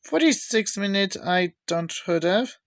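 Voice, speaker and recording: Slow-paced woman showing items on camera. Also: medium pitch, lively intonation, dry recording, clean studio sound. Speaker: video maker showing cassette tapes. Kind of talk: casual unscripted show-and-tell narration.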